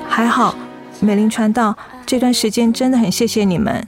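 High-voiced devoted young daughter played by an actress. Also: female voice, normal speed, over music, close to an everyday voice. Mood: composed, grateful. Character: devoted young adult daughter, anxious and tender. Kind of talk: Mandarin radio drama acting.

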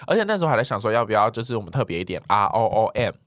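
A man co-hosting a podcast in Taiwanese-accented Mandarin. His voice sits fairly low but swings in a very animated way, with playful teasing in a casual chat. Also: very fast, dry recording, clean studio sound. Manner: joking, teasing banter with co-host. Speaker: podcast co-host.

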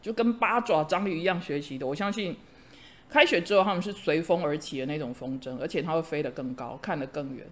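A man's voice: a little high, quick pace, a bit bright. A middle-aged man, a teacher hosting a chat podcast, earnest and encouraging, fond of idioms. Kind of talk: casual chat.

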